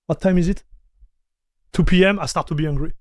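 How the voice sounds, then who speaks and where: A man's voice: fairly high pitch, fairly quick, no echo. Chatty male streamer. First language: French. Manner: chatty, laughs often, talks straight to chat. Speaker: streamer reacting to tech news. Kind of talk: casual live-stream chat.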